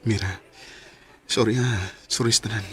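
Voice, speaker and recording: A man playing an apologetic, coaxing young boyfriend in a radio drama, speaking haltingly with a Cebuano Filipino accent. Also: lowish voice, fairly even intonation, husky, soft background music.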